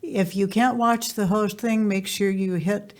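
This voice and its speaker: A woman hosting an informal interview show, effusive and quick to interject with reactions. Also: medium pitch, fairly even intonation. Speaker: podcast host.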